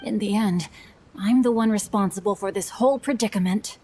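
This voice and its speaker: A woman's voice: mid-range voice, a little fast, warm tone, a little hiss or hum, natural voice. A woman voicing a refined, easily flustered young male novelist in a video game, speaking formally and anxiously. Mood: embarrassed, confessing.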